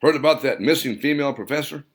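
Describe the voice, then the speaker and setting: A man's voice: a little high, fast talker, pitched above the performer's own voice, lightly acted. A man voicing a chatty, gossipy small-town store owner, a retired military man, in audiobook dialogue. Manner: folksy, chatty rural talk.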